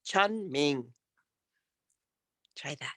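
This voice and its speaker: A woman's voice: low voice, expressive, drawn-out words, fairly bright tone, no echo, clean studio sound. A woman coaching public speaking in an interactive lesson, encouraging and emphatic as she demonstrates.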